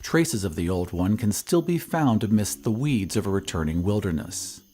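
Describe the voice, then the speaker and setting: Man voicing a low, somber horror-tale surveyor. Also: animated, moderate pace, mellow, natural voice. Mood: ominous, brooding. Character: somber male surveyor recounting an eerie old tale. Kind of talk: slow, atmospheric audio drama narration.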